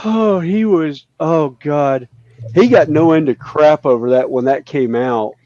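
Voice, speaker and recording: Casting note: man in his fifties, high voice, jokey and animated, dull dry recording. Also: a little slow, no room sound. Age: 50s. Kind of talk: casual chat on a live stream.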